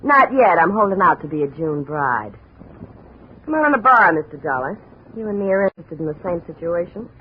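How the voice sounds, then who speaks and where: A woman's voice: lowish voice, very animated, brisk. A woman playing a tough, sardonic American burlesque performer with a grudge, in a 1950s radio detective drama. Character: brassy, jaded female burlesque performer, bitter scorned girlfriend. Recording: dull, hissy old radio recording.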